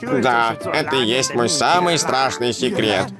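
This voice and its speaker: Man as a gentle plump cartoon pig, music behind. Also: medium pitch, animated, close to an everyday voice. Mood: kindly. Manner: slow, humble, kindly explaining. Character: plump, gentle male pig noodle cook. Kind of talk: dubbed children's cartoon acting.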